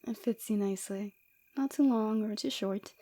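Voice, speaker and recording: A woman playing a flirtatious, forward rebel villainess with a secretly caring side, in a soft, teasing, intimate ASMR roleplay. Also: mid-range voice, measured pace, natural voice. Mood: confiding, teasing.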